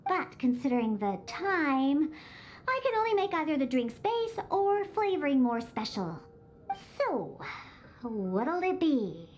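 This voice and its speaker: A woman's voice: high voice, a little hiss or hum. A woman voicing a grumpy young catgirl bartender in a video game, huffy, haughty and sulky. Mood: grudging, huffy. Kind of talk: animated game voice acting. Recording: music behind the voice.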